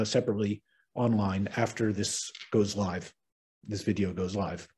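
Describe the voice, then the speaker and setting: A middle-aged American man opens a webinar, speaking in an earnest, measured tone with the odd "um" and restart. His voice is husky and mellow, and it comes through a video-call microphone. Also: mid-range voice. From United States. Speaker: webinar host and moderator. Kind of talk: formal opening remarks.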